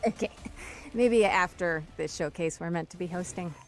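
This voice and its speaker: A woman's voice: fairly low pitch, moderate pace, soft background music. A woman co-hosting a video game showcase with scripted comedy and a wry, teasing manner. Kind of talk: scripted comic presenting.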